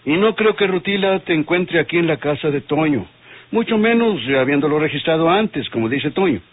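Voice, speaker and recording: Tinny old radio drama, police inspector, man, rather high husky voice, firm, deliberate. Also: expressive. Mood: warning. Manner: deliberate, authoritative. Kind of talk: old radio soap acting.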